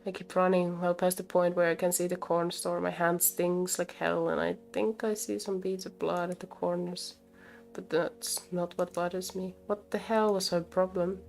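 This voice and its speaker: Woman streamer reading out a visual novel, kinda low voice, comments as she goes, soft music behind. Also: moderate pace, creaky. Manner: expressive, breaks off to comment and giggle. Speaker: game streamer. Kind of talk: reading visual novel aloud with live reactions. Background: game music.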